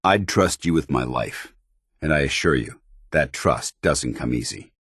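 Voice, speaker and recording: Casting: proud military paladin for a game, very low, husky voice, formal and earnest, recorded dry. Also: male voice, expressive, unhurried, fairly bright tone, no room sound, heavily acted. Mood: sincere, warm. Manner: formal, clipped, commanding, earnest. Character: stern, proud, earnest male military paladin soldier companion. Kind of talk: scripted video game companion dialogue.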